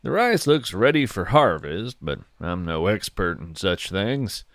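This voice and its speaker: A man playing an earnest, wonder-struck naturalist, hosting a scripted fiction nature podcast in a gentle, folksy, lyrical manner. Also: deep voice, sing-song, unhurried, dry recording, clean studio sound, full character voice. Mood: serene wonder. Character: earnest, wonder-struck male naturalist who seeks cryptids. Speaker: podcast host.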